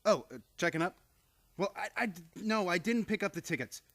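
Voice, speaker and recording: A woman's voice: on the low side, lively intonation, a little fast, a bit bright. A woman playing a horrified, exasperated grown nephew in a radio comedy, stammering and incredulous. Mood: flustered. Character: flustered, horrified nephew, an excitable grown man. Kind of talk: stage comedy radio play acting.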